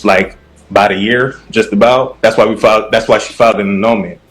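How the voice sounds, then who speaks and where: A man's voice: fairly low pitch, fairly even intonation, fairly quick, faint music behind. A man in his thirties who is a dating show contestant and answers hesitantly and evasively, full of fillers. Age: 30s.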